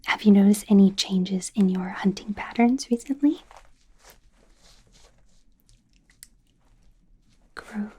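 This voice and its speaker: A friendly young werewolf doctor played by a woman speaks in an ASMR roleplay whisper, breathy and soft. The tone is dark and relaxed, while the delivery is hesitant, casual and a little flustered. She reassures in an awkward, rambling way. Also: mid-range voice. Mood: gentle, nervous.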